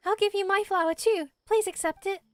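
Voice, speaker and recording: A woman voicing a small, gentle, childlike forest spirit in a video game, solemn and earnest, with quaint, ceremonious phrasing. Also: very high voice, fairly even intonation, fairly quick, no echo. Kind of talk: amateur voice acting of video game dialogue.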